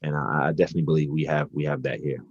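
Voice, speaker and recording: A man's voice: very deep voice, fairly even intonation, rapid-fire, husky, no echo, muffled recording. A man, a basketball coach answering interview questions conversationally, hesitating and repeating words as he thinks. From Brooklyn, New York, United States. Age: 40s.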